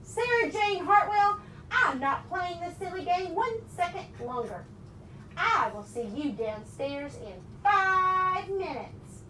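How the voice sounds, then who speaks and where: A woman's voice: very high voice, expressive, slow pace, bright, forceful tone, pitched above the performer's own voice, heavily acted. A woman reading a storybook, voicing an affectionate but firm husband in a coaxing, emphatic way. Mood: exasperated. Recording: roomy, distant mic with background noise.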